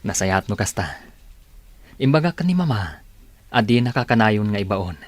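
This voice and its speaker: A man's voice: fairly low pitch, very animated, normal speed, no echo. A man acting as a considerate, mild young husband and dutiful son in a radio drama.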